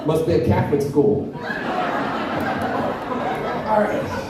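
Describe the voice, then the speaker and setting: A woman hosting a comedy night, bringing up the comics briskly. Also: sits low, big pitch swings. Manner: brisk, keeps the show moving. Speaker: host. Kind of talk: emceeing a comedy show. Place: comedy club stage. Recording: echoey club from far off, crowd noise.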